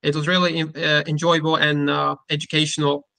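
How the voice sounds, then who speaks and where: A man with a Turkish accent hosting a casual technical interview, speaking haltingly and often repeating and rephrasing his words. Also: fairly high pitch, little pitch movement, creaky, sharp tone, no room sound. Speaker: interviewer and cybersecurity content creator.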